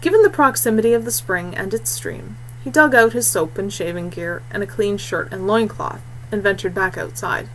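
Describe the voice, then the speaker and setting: A woman's voice: medium pitch, normal speed, slight background noise, poor audio. A woman reading scripted fiction as an audiobook narrator.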